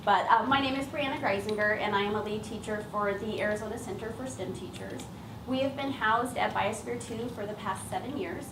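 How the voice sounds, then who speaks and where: A woman's voice: mid-range voice, fairly quick. A woman teacher giving an informal, explanatory public presentation about her work, speaking as a lead trainer. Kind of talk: public presentation, semi-prepared talk. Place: echoey room at an event. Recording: roomy, distant mic, clearly noisy background.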